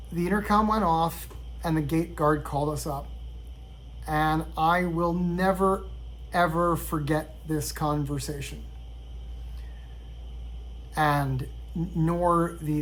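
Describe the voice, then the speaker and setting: A middle-aged man from Sweden telling a personal story in a measured, reflective way. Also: on the high side, fairly even intonation, a bit bright, poor audio. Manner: reflective, measured, with occasional restarts. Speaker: vlogger.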